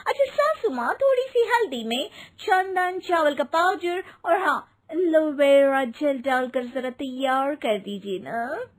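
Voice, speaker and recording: A woman's voice: sits high, lively intonation, slow, few pauses, voice pushed up. A woman voicing a vain, demanding young celebrity daughter-in-law in a theatrical, sugary, entitled manner. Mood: sugary, smug.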